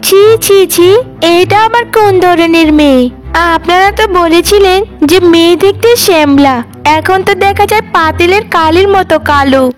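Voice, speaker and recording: Cartoon in-law visitor, acted by a woman: very high voice, haughty contempt, music bed. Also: unhurried. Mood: disgusted. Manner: indignant, contemptuous. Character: haughty, disgusted visitor from a groom's family. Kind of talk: animated cartoon drama voice. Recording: music under the voice.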